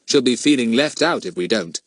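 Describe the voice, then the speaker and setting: A man voicing a confident, cheeky boy detective in a children's storybook, brisk and well-mannered. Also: mid-range voice, expressive, dry recording, close to an everyday voice. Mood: brisk, fussing.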